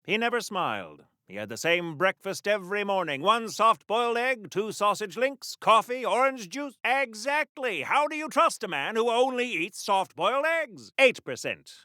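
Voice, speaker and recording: An actor playing a pompous, prudish American superhero war veteran of the 1940s in a video game, with a theatrical old-fashioned delivery. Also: male voice, high-pitched, big pitch swings, normal speed, hardly any pauses, bright, forceful tone, no room sound, clean studio sound, heavily acted. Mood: stuffy, boastful. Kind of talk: video game acting in 1940s radio hero manner.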